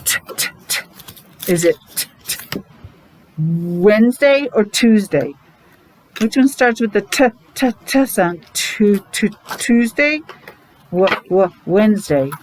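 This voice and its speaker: A woman's voice: mid-range voice, rough recording. Woman teacher with noticeable background noise. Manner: simple repetitive phrasing with frequent questions, sounding out letters. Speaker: preschool or special education teacher leading morning meeting. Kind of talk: interactive lesson for young children.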